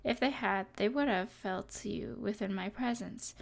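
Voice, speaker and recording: A woman playing an evil sorceress, possessive and tenderly devoted, speaking softly and deliberately in an intimate ASMR roleplay. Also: mid-range voice, gravelly. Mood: smug, possessive. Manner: soft, deliberate, soothing yet possessive.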